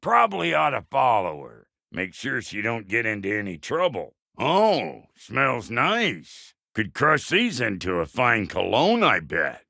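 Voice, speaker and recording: A gravelly, cantankerous dwarf blacksmith from a video game, drawling in a Southern American accent with an unhurried pace. The pitch swings wildly, and the delivery is crude, folksy and blunt, with a good heart underneath. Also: male voice, huge pitch swings, pushed and bright, dry recording, studio quality, exaggerated voice. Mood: appraising, admiring. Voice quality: gravelly, rough-edged. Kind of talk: video game character acting.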